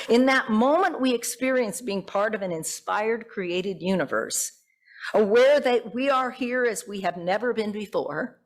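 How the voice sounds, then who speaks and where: Reflective woman preaching a sermon. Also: mid-range voice. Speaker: pastor. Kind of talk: sermon with poetry quotations.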